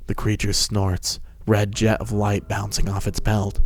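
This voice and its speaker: A man's voice: on the low side, monotone, a little slow, no echo. A man narrating a story as a dramatic scripted reading. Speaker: narrator, fan fiction reader.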